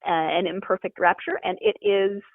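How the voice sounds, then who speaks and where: A woman's voice: lowish voice, big pitch swings, normal speed. A woman podcast host chatting casually with her guest, laughing easily. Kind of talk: casual interview chat. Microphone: phone line. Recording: dry tinny phone line.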